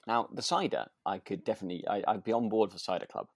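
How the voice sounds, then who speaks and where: A British man co-hosting a podcast in relaxed, conversational chat, agreeable and tentative in his phrasing. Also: lowish voice, fast, no room sound, clean studio sound. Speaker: podcast co-host. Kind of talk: relaxed casual chat about a radio drama.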